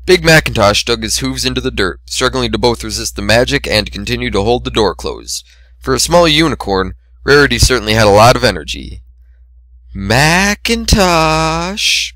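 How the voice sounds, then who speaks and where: A man's voice: mid-range voice, lively intonation, fairly quick, a bit bright, no room sound, low-quality recording. A man narrating a story as an amateur dramatic reading of fan fiction.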